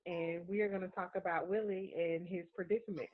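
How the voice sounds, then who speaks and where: A woman with a head cold and a stuffy nose presents a case to her class on a video call in a measured, explanatory way, often saying "um" and "of course.". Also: on the low side, steady tone, phone-quality sound. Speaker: student presenter.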